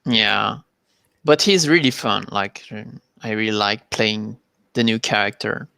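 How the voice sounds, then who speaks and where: Man with a bright French accent. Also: on the low side, gravelly, clean tone, dry recording. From France. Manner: halting, many fillers and repeated words. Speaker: guest, competitive fighting game player. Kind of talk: casual interview over a live video call.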